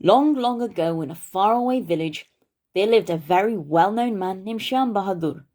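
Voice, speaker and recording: A woman's voice: mid-range voice, lively intonation, normal speed, darkish tone. A woman narrating a story for children as the host of a read-aloud show.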